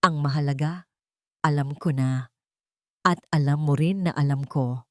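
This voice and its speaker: Woman as composed wealthy man, stern, deliberate, lowish voice, dramatic storytelling. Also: no echo. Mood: calm resolve. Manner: calm, weighty, deliberate. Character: calm, firm, vengeful male millionaire. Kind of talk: dramatic audio story dialogue.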